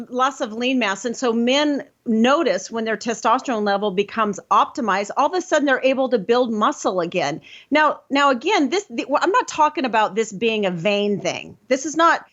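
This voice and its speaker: A woman's voice: on the high side, fairly bright tone. A woman hosting a health podcast, talking in an emphatic, lecturing way with easy laughs. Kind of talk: casual health talk. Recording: dull lo-fi audio.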